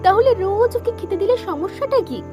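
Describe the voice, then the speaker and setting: A woman's voice: very high voice, expressive, moderate pace, muffled recording. A woman playing a bold, scornful woman who chides emphatically in a cartoon folk tale. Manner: emphatic, chiding. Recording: music playing behind the voice.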